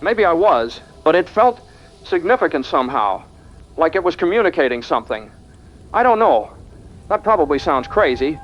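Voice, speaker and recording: A man's voice: fairly high pitch, big pitch swings, normal speed. A man playing an earnest young university lab researcher, speaking conversationally in an interview-style audio drama. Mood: uneasy, wondering. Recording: dull audio with background noise.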